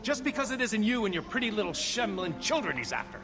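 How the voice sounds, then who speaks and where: High-voiced bitter grieving elf father. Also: sing-song, fast talker, bright, forceful tone, faint music behind, heavily acted. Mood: bitter grief. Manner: pleading, indignant. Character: grieving, bitter male elf merchant father seeking vengeance. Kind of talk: video game voice acting. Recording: noisy game ambience behind. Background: ambient noise.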